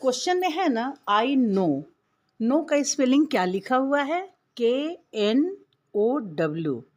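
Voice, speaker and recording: A woman teaching young children a school lesson, patiently explaining and spelling words out letter by letter. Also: fairly high pitch.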